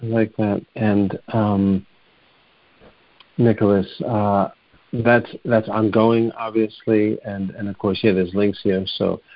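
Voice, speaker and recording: A male interviewer asks his questions hesitantly, filling gaps with ums. His voice is low and gravelly. The recording is dry and a little dull. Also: dry recording. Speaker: interviewer host. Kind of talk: conversational interview.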